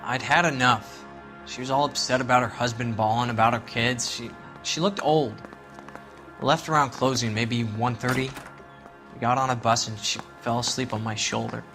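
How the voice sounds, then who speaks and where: A young American sailor in a crime game, brash and resentful. He talks in blunt, defensive slang at a medium pitch. Faint music sits behind the voice. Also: steady tone, normal speed, sharp tone. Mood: guarded. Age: young adult. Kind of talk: naturalistic video game drama acting.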